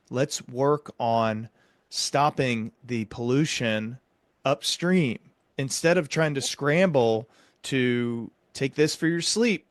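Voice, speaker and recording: A man's voice: medium pitch, dry recording. Man explaining in a dry, close sound. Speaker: podcast host; psychiatric doctor. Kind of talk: conversational explaining.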